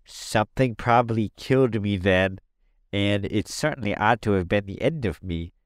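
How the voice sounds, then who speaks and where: A man voicing a huge winged male creature with a squeaky, high voice, talking in a formal, wordy, mournful way. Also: moderate pace, dry recording, studio quality, natural voice. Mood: bewildered.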